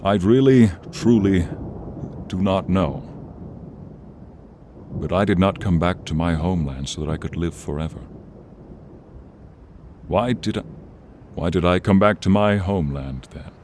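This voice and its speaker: A man voicing a noble, loyal dragon with a deep voice, speaking in a solemn, grandiose way in a fantasy ASMR roleplay. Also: darkish tone. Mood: solemn, earnest. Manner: solemn, grandiose, deliberate storytelling delivery. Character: noble friendly male dragon, earnest and brave, loyal companion. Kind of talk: fantasy ASMR roleplay, dramatic monologue to listener. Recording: clear storm noise behind the voice. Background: rain, thunder and rumbling storm ambience.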